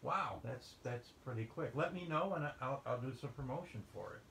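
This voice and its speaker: A man's voice: mid-range voice, animated, moderate pace, gravelly, a bit bright. A man interviewing a poet, chatty and casual, often repeating his words as he starts sentences. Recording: echoey room with faint ambience.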